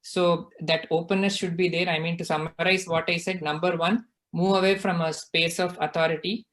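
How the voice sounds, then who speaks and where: A man's voice: a little high, little pitch movement, normal speed, fairly bright tone. A man, earnestly explaining his views on parenting in a casual online discussion and often checking in with "right?". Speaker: guest speaker. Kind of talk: casual discussion on a video call.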